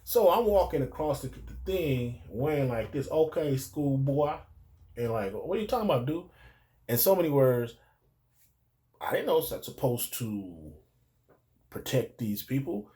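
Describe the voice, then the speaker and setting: A man's voice: mid-range voice, very animated, a little slow, mellow. A middle-aged American man telling personal stories casually, in a rambling, digressive way with street slang. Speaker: storyteller recalling his youth.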